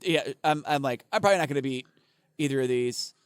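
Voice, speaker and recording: Excitable swearing man on a podcast. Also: medium pitch, fast talker, clear, resonant tone, no echo. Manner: profane, excitable, stammering restarts. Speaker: podcast co-host. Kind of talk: casual podcast banter.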